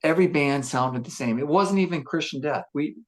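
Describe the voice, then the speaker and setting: A man with a gruff voice, a musician chatting casually on a podcast, reflective and rambling with many fillers and restarts. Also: fairly high pitch, a little fast, crowd noise. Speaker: musician discussing his band.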